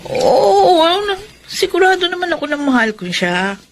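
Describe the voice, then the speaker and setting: An actress with a Filipino accent playing an ambitious, hesitant young factory worker in a radio drama, speaking in an emphatic, conversational way. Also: female voice, very high voice, big pitch swings, normal speed, exaggerated voice. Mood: earnest, conflicted. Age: young adult. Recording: faint background noise.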